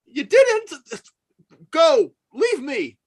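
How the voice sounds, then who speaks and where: A woman puts on an imperious cartoon king with villainous schemes. The voice is extremely high and agitated, lurching through wide swings as it gives commands, with slow, drawn-out words. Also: wildly swooping pitch, exaggerated voice. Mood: frantic, imperious. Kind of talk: live animated-film table read.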